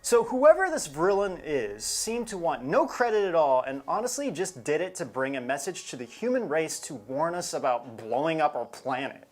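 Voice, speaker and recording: Lively, expressive podcast co-host narrating. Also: mid-range voice, normal speed, few pauses. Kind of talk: conversational commentary with scripted narration.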